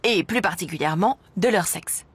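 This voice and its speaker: A woman's voice: on the low side, moderate pace, breathy edge, no room sound. A woman playing a friendly cartoon presenter who explains things to children in a didactic, encouraging, scripted way. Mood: explanatory. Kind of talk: scripted educational cartoon narration.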